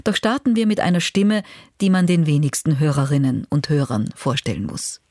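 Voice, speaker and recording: A radio presenter reading a scripted introduction in a measured, formal way. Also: medium pitch, darkish tone, no room sound, studio quality. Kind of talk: scripted narration.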